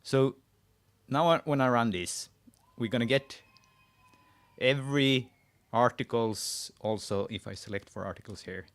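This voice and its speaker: A man with a Swedish accent hosting a live screen-share tutorial, thinking aloud as he types, often pausing and restarting his sentences. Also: medium pitch. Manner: thinking aloud while typing, frequent restarts. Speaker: host.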